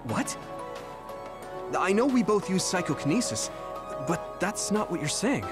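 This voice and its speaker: A man's voice: sits high, big pitch swings, a little slow, music in the background. A man voicing an earnest young soldier who commands a platoon in an anime-style game. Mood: bewildered.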